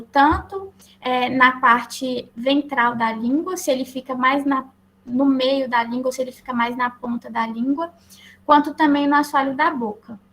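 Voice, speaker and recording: High-pitched Brazilian woman giving a lecture. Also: a bit bright. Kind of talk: slide presentation to health professionals. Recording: clear background noise.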